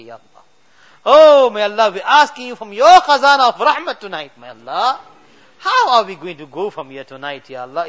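A man's voice: high voice, bright, forceful tone. A man leading a fervent late-night supplication as an imam, pleading with constant repeated refrains. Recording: dull lo-fi recording.

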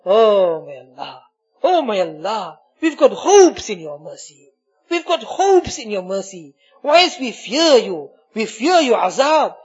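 A man leading an impassioned Islamic supplication, pleading and repeating his invocations, swinging between hushed murmurs and loud cries. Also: sits high, breathy edge. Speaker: religious leader leading a supplication. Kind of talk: impassioned spoken Islamic prayer. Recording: muffled, dull lo-fi audio.

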